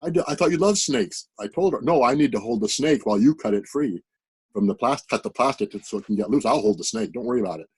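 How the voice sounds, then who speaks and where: Guy on a video call telling his story, kinda husky, plain and earnest. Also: mid-range voice, lively intonation, fast talker. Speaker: participant sharing a story. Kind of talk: personal storytelling.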